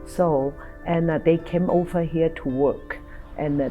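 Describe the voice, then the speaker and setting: Older woman, halting speech. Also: a bass voice, low-quality recording. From China. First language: Toisanese. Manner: halting, searching for words. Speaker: interviewee. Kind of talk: documentary interview.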